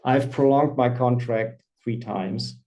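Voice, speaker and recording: A man in his fifties, a company CFO, presenting quarterly results on an earnings call in a measured, formal way. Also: medium pitch, steady tone, a little mellow. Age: 50s. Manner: measured, formal reading of financial figures.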